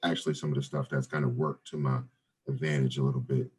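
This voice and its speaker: Very deep, husky-voiced man. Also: flat delivery, fast talker. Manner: laid-back, many fillers and restarts, says "brother" and "right?". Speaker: real estate investor guest. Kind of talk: casual podcast interview.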